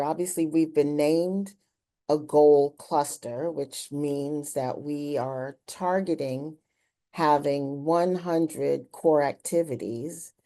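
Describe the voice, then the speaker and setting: A woman's voice: deep voice, dark tone. A woman interviewing in a conversational, reflective way, explaining her points and often checking in with the listener.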